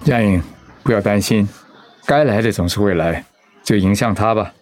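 A man playing a gentle, stoic, ailing middle-aged husband in a radio drama, hesitant and reassuring. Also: fairly low pitch, normal speed, natural voice. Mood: calm, reassuring.

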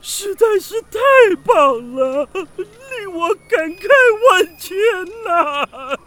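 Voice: extremely high pitch, expressive, extremely slow, a bit bright, exaggerated voice. An actor playing a pompous, sentimental ancient male poet as a contest judge in a children's radio drama, speaking grandiloquently. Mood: tearfully moved. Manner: grandiloquent, savoring, prone to tearful rapture.

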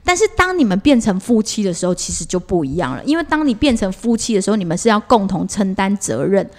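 A woman's voice: mid-range voice, very animated, fast talker, a little mellow. A woman with a Taiwanese Mandarin accent in a casual podcast chat, telling stories expressively as co-host.

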